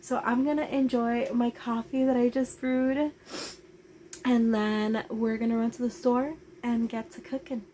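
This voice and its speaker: A woman's voice: a little high, steady tone, a little slow, warm tone, a little hiss or hum. Stuffy-voiced woman chatting in an RV. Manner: chatty, with many "like" and "um" fillers. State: stuffy with a head cold. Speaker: RV travel vlogger. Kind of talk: casual cooking vlog. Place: small RV kitchen.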